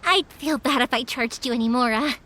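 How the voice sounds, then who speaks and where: A woman's voice: high voice, fairly quick, fairly bright tone, slight background noise. A young woman voicing a cheerful, easygoing, big-sisterly fireworks maker in a video game, chatty and friendly. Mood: friendly, upbeat. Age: young adult. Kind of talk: English video game character voice acting.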